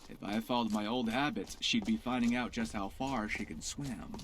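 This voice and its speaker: Man playing a wry, low-voiced pirate adventurer. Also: fairly even intonation, moderate pace, background noise, natural voice. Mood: dry, teasing. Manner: dry, sardonic. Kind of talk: scripted fantasy game dialogue.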